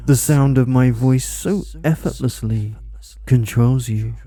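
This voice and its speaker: A man speaking a slow, dominant, scripted hypnosis monologue with a commanding, repetitive delivery. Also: medium pitch, mellow. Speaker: hypnosis audio creator.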